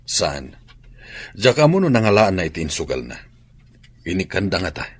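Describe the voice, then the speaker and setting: A concerned father in a radio drama. His voice is rough and husky, rising and falling expressively, recorded dry. He sounds earnest. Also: low-pitched, sing-song, moderate pace, no echo, full character voice. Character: concerned father and husband in a troubled family. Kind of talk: Ilocano radio drama acting.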